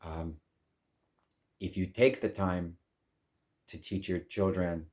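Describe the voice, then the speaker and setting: A man with a deep, low-pitched voice talks in a slow, unhurried way, weighing each phrase. He delivers a calm, reflective monologue in his native English, hosting his own channel. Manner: calm, deliberate, measured phrasing. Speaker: language channel host.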